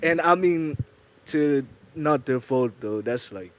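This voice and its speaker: A man's voice: a little high, animated, slow pace. A man from Ghana, a panel guest speaking hesitantly with many fillers in a casual group chat.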